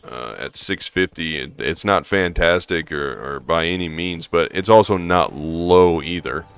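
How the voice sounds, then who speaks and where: A hoarse man playing a game and thinking aloud in a rambling, casual way, with fillers and restarts. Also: low-pitched, measured pace, a bit bright. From American Midwest. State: hoarse from allergies. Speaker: gaming streamer. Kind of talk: casual gameplay commentary.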